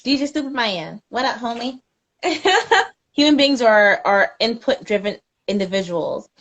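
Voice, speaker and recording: Higher-voiced woman, playful and informal. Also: animated, a little slow, a bit bright. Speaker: interviewer. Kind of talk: casual livestream chat.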